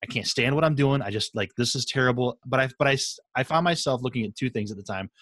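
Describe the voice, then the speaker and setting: A man's voice: mid-range voice, fast, a bit bright, no room sound. A man hosting a podcast, chatting casually and telling anecdotes with emphatic, repeated phrases. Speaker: podcast host, interviewer.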